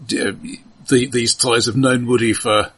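A man with a husky, bright voice at a middling pitch talks analytically about film. He works through his reasoning step by step in a casual, tentative way, as a podcast host. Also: steady tone, normal speed.

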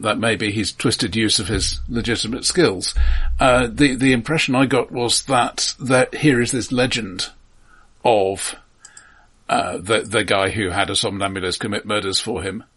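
A man's voice: fairly low pitch, normal speed, a bit bright, husky. A man co-hosting a casual, analytical film discussion, hesitant and thoughtful in his phrasing. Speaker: podcast co-host.

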